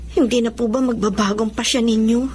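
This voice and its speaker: An actress playing an earnest, dutiful young daughter in a Filipino melodrama, with a polite, pleading manner. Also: female voice, fairly high pitch, steady tone, brisk, darkish tone, slight background noise, close to an everyday voice. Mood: distressed, pleading. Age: young adult.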